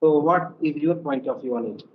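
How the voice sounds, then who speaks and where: A man's voice: on the high side, brisk, muffled recording, a little hiss or hum. Hesitant man searching for words. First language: Hindi or Urdu. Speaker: learner, guest.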